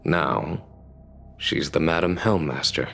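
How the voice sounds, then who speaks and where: A man acting as a wise, courteous military commander in a video game, speaking in a formal, measured and deliberate manner. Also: very deep voice, gravelly, a bit bright, slight background noise, exaggerated voice. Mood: wistful, reflective. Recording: background music under the voice.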